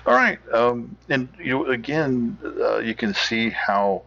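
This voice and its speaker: A man hosting a show with casual, unscripted commentary, halting as he thinks aloud. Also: medium pitch, animated, vocal fry, pushed and bright, lo-fi sound. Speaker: podcast host.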